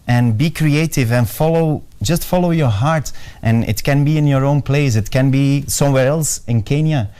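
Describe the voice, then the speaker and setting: A man whose first language is Dutch, speaking calmly and thoughtfully as an interview guest. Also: mid-range voice, normal speed, few pauses, clear, resonant tone. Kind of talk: casual interview.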